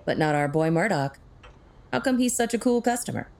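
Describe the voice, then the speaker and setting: A woman's voice: on the low side, lively intonation, creaky, a little mellow. A woman playing a witty, sarcastic crime-fighting tech expert in an audio drama, with a wry manner. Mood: wry, teasing. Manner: wry, sarcastic, quick-witted.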